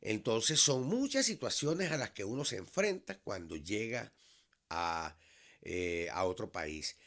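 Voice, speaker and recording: A Venezuelan man in his fifties, a teacher, speaking in a measured, earnest monologue that has frequent restarts. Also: lowish voice, very animated, fairly bright tone. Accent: Venezuelan Spanish. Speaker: vlog host, high school teacher. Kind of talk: reflective solo monologue.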